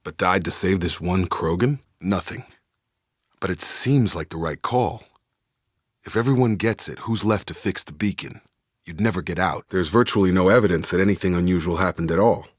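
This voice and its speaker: A man's voice: low-pitched, moderate pace, slightly rough, dry recording, clean studio sound. A man playing a loyal, guarded young soldier in a sci-fi video game, speaking in an earnest, controlled, matter-of-fact way. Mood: firm, frank.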